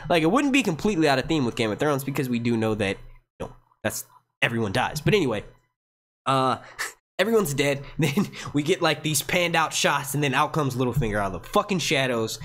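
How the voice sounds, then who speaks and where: A man hosting a casual live Q&A stream, talking excitably and swearing freely into a gated streaming mic. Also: mid-range voice, fairly quick, slight background noise. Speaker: livestream host. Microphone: streaming mic with noise gate.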